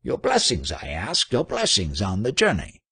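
A man's voice: medium pitch, wildly swooping pitch, moderate pace, gravelly, husky, no room sound, pitched above the performer's own voice, heavily acted. A man voicing a huge, boisterous and superstitious trader in a storybook, with a bellowing, effusive manner. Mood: booming, eager.

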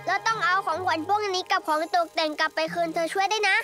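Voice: extremely high pitch, fast talker, pushed and bright. A performer voicing an eager, earnest young boy superhero in a children's cartoon dub, with music behind. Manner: eager, exclaiming.

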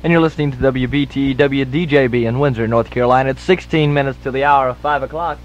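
A man's voice: mid-range voice, fairly even intonation, fast. A man working as a radio DJ, announcing songs, times and station identification live on air. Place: radio studio. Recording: dull lo-fi 1980s radio aircheck tape. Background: music.

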